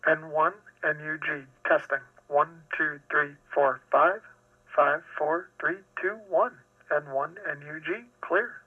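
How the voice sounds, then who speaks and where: A man making a deliberate test transmission, heard through a two-way radio. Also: a little high, steady tone, husky. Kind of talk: radio test transmission, slow counting and call sign. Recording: muffled radio receiver audio.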